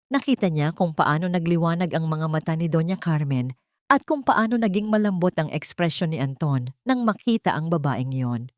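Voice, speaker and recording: A woman with a fairly low voice narrates a dramatic story. Her delivery is solemn and melodramatic, and the recording is close and dry. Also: a little mellow, no room sound.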